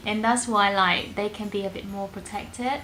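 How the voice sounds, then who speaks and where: A woman's voice: medium pitch, steady tone, unhurried, bright, forceful tone, slight background noise, rough recording. A young woman from Vietnam with a Vietnamese accent, chatting casually in a couple's Q&A video. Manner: chatty, acts out her own worried questions. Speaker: vlogger. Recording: background music under the talk.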